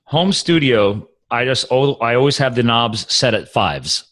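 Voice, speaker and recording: A man's voice: medium pitch, lively intonation, a little slow, a bit bright. A man hosting a podcast, chatting casually and rambling about recording equipment. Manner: chatty, rambling, talks with many restarts. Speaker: podcast host.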